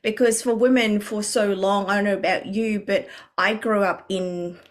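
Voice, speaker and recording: A woman's voice: medium pitch, fairly even intonation, moderate pace. A woman from Vietnam, guest on a podcast as a coach, talking in an earnest, reflective way with many fillers and restarts. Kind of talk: podcast interview.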